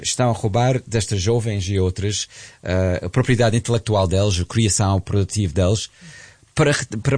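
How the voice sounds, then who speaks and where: Very deep-voiced, halting man. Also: a little mellow, no echo. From United States. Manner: halting, with repeated words. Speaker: guest commentator on a radio programme. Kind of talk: conversational opinion in a radio discussion.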